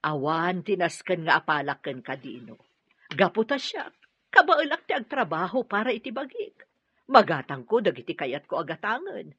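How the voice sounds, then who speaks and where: Sharp, scolding elder sister. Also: female voice, lowish voice, big pitch swings, normal speed, husky, dry recording, exaggerated voice. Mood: indignant. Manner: sharp, reproachful. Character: stern, scolding elder sister, self-reliant and bitter. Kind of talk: Ilocano radio drama acting. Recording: dull band-limited radio drama audio.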